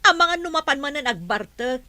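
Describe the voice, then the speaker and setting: A woman's voice: high-pitched, lively intonation, fairly quick, bright, forceful tone, no room sound, full character voice. A woman playing an anxious, caring middle-aged mother in an audio drama.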